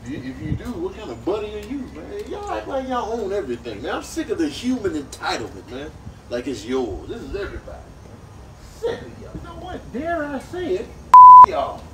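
A man's voice: sits high, huge pitch swings, brisk, long pauses, a crowd in the background, heavily acted, low-quality recording. A man voicing an indignant, put-upon freeloading bear, grumbling and arguing in slang for a comic animal voice-over. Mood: aggrieved.

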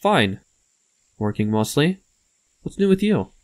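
A young man acting in a comic dub as a brooding, grieving, haunted young man, weary and halting in his terse delivery. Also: on the high side, very animated, a little mellow. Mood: guarded. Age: young adult. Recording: roomy reverb, faint music underneath.

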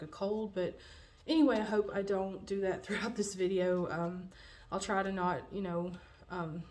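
A woman's voice: medium pitch, darkish tone. A young woman with a cold, a little nasal, chatting through a wig review at home with lots of fillers, recorded on a camera in front of her. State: has a cold, slightly nasal. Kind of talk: casual product review vlog. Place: dim room at home, indoors.

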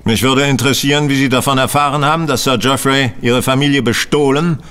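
An actor playing a composed, stern gentleman detective who questions a culprit formally and incisively in an audio drama. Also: mid-range voice, fairly even intonation, fairly quick, clear, resonant tone, lightly acted. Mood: probing, accusing. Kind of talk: German radio play acting.

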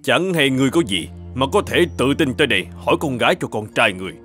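A man's voice: mid-range voice, expressive, fast talker, pushed and bright, soft background music. Pompous, commanding old cartoon king. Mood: haughty. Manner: imperious, commanding. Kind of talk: dubbed children's cartoon acting.

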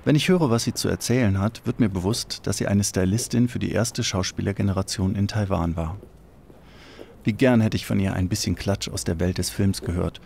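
Eine tiefe, rauchig-knarrende Männerstimme trägt ruhig und gemessen eine übersetzte Erzählung vor. Im Hintergrund ist ein schwaches Rauschen zu hören. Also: male voice, sits low, creaky, a little hiss or hum. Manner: calm, measured reading. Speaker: narrator reading translation. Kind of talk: scripted literary reading.

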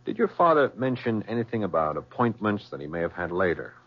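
An American actor playing a businesslike, persistent homicide lieutenant in a radio drama, measured and probing. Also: male voice, fairly low pitch, big pitch swings, husky. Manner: measured, probing, matter-of-fact. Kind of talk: 1970s radio drama acting. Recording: muffled vintage radio broadcast.

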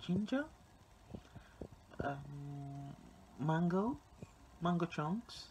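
A woman in her thirties chatting casually to her vlog audience in halting, unhurried phrases, recorded on a phone at home. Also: deep voice, lively intonation, a little mellow. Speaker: vlogger. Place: small indoor rooms at home, kitchen. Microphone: phone at arm's length. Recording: noisy handheld phone recording with room ambience.

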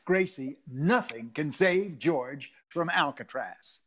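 A man's voice: a little high, animated, slow, fairly bright tone, full character voice. A man playing a gloomy, doubting family friend in 1940s-style radio comedy.

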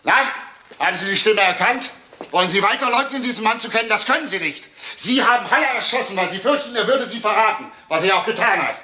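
A sharp, animated, relentless police inspector. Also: male voice, sits high, quick pace, slight background noise, full character voice. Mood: forceful, pressing. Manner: insistent rhetorical questioning. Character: relentless, sarcastic male police inspector pressing suspects. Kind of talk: crime radio drama acting.

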